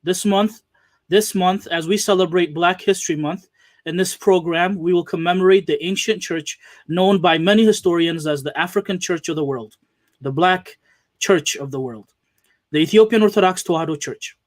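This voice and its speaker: A man hosting an interview show, speaking earnestly with frequent pauses and fillers. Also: high voice, no echo. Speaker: host. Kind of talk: hosted interview.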